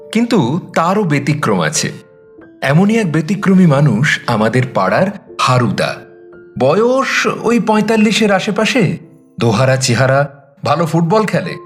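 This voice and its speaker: A man tells a story as its chatty narrator, slipping into conversational asides. His voice is fairly high and swings expressively up and down. Light music plays behind him. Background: background music.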